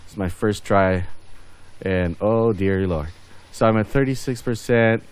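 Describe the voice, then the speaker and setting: A man with a fairly low voice gives casual commentary over a video game. He hosts in an unscripted way, rambling a little and thinking aloud as he plays. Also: measured pace, clean tone. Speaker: gaming video host.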